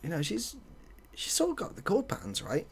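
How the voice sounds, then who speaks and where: A soft-spoken man improvising a story in a hesitant, dry, rambling and self-deprecating way. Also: high voice, wildly swooping pitch, a little fast, creaky, husky. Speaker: ASMR video host.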